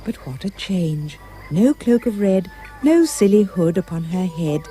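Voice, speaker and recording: A woman giving expressive, theatrical rhyming storybook narration for a puppet show. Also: on the low side, unhurried, faint music behind.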